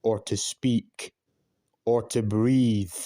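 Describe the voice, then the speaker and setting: A man doing a slow, flat, sneering mocker. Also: fairly low pitch, no room sound, studio quality. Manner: slow, mocking, deadpan.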